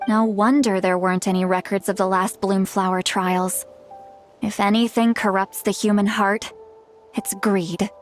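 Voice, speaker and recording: A thoughtful woman voiced by an actress speaks in a middle pitch over faint music. She is reflective and a little wry, choosing eloquent, measured words as a character in a story-driven game. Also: fairly even intonation, natural voice. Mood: rueful. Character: thoughtful, wry woman explaining a tragic mystery. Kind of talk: scripted video game dialogue.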